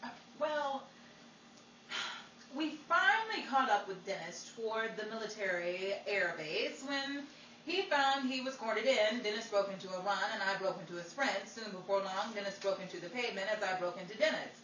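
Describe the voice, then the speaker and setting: A woman's voice: mid-range voice, lively intonation, normal speed, fairly bright tone, natural voice. A woman playing a wisecracking servicewoman in a 1940s-style radio comedy, recorded in a roomy space from off the mic. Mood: wry, dramatic. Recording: roomy distant mic with faint noise.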